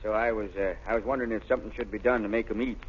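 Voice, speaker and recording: Meek, husky prison guard. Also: male voice, fairly low pitch, steady tone, rapid-fire. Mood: timid, apologetic. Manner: hesitant, uneducated, deferential speech. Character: meek, dim, deferential male prison guard. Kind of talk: 1930s radio drama acting. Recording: dull, lo-fi 1930s radio recording.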